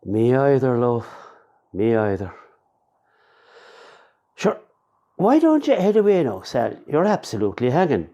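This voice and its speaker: An actor playing a grumpy, grieving older Irish father in a radio play, gruff and sardonic in manner. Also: medium pitch, huge pitch swings, unhurried, long pauses, dark tone, lo-fi sound. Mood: gruff affection.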